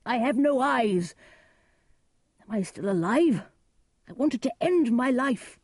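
A woman voicing a blind old nobleman who speaks gravely and emphatically in despair, in a storybook reading. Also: a little high, animated, slow pace, a little mellow, dry recording, clean studio sound, voice pushed up. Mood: anguished, resigned.

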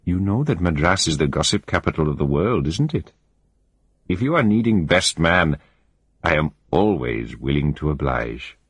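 A man's voice: a bass voice, sing-song, moderate pace, husky, no room sound, heavily acted. A man voicing an eager male business friend who urges a partner on in an earnest, persuasive way.

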